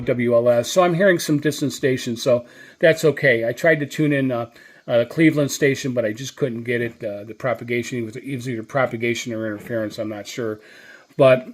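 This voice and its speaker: Casual man reviewing gear, hesitant delivery. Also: mid-range voice. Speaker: radio reviewer and channel host. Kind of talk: casual unscripted product review.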